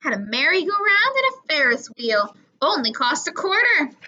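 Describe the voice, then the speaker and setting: A woman's voice: high voice, sing-song, moderate pace, bright, forceful tone, heavily acted. A woman voicing an outspoken, folksy old grandmother from a storybook, plainspoken and rambling as she reminisces. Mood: fond, reminiscing. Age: older. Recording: dull lo-fi recording.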